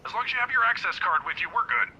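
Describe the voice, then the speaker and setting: A jovial old security guard. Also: male voice, sits high, big pitch swings, racing, bright, forceful tone, husky, muffled recording, heavily acted. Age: older. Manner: chatty, jovial, fumbles names. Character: friendly forgetful older male security guard. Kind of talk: voiced visual novel acting.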